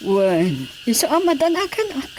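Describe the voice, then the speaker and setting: A woman's voice: high-pitched, very animated, moderate pace, darkish tone, slight background noise. A woman acting a stern, complaining lady of the house in a radio drama.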